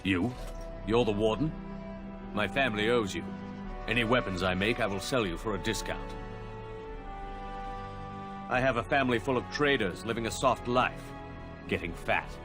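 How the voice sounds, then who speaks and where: An actor playing a proud dwarf blacksmith who speaks in a grave, formal way. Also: male voice, fairly low pitch, normal speed, pauses often, lightly acted. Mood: solemn. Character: proud solemn male dwarf smith. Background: game music.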